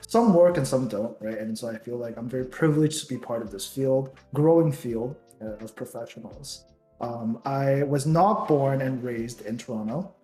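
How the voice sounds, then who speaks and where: A man's voice: mid-range voice, moderate pace, soft background music. Chatty young man in a roomy room. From Taiwan. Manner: relaxed, rambling with many ums and likes. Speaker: podcast co-host. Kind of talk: casual chat.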